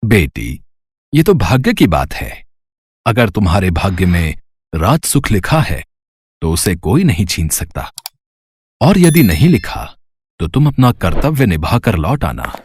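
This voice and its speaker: A man playing a husky-voiced gentle old tailor father. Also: low voice, huge pitch swings, measured pace, pauses often, no room sound, studio quality, heavily acted. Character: kind, humble elderly male tailor father.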